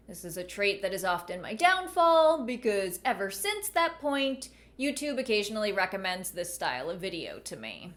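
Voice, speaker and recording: A woman giving conversational video commentary in a wry, deadpan manner. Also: medium pitch. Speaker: video essayist and host.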